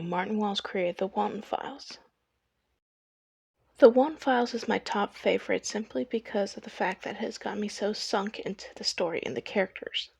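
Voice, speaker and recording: Young female video essayist, mid-pitched with noticeable vocal fry, reading a personal script in a dry space. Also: no echo.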